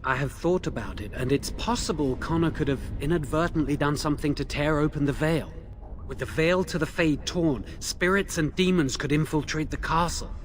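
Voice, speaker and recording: A man's voice: on the high side, normal speed, noisy background, natural voice. A man playing a remorseful young mage. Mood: explaining. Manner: pleading, hesitant. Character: young male blood mage, remorseful and anxious. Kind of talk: video game dialogue.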